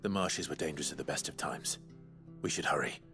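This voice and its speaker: An actor playing a stoic young warrior in a fantasy video game, terse and grave in delivery. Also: male voice, very deep voice, a little fast, bright, forceful tone, faint music behind, exaggerated voice. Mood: grave, resolute.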